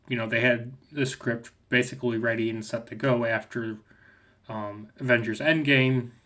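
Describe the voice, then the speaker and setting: A man's voice: medium pitch, a bit bright. A young man with a slight cold rambles through a casual video review rant, full of fillers and restarts, as a movie reviewer and streamer.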